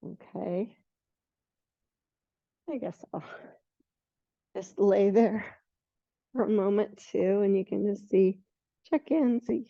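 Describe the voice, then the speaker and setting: A hoarse middle-aged woman guiding a yoga class in a relaxed, unhurried way, with some fillers along the way. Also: mid-range voice, dry recording. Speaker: yoga teacher. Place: yoga studio. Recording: muffled lo-fi recording.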